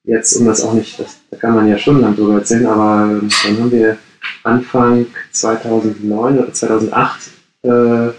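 A man's voice: fairly low pitch, little pitch movement, normal speed, noisy background. A man in his late thirties from the Ruhr area, answering interview questions casually and with many hesitations. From Ruhr area, Germany. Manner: hesitant, many fillers and restarts. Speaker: coworking space co-founder, podcast guest. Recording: roomy echo.

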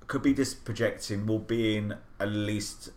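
A man's voice: fairly low pitch, steady tone, measured pace. A British man co-hosting a podcast, talking in a chatty, rambling, jokey way. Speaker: podcast co-host. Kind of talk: casual chat.

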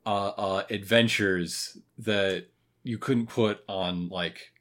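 A podcast co-host in their thirties chatting casually in a review, often pausing mid-thought. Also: low-pitched, fairly even intonation, a bit bright. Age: 30s. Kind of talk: casual review chat about a cartoon.

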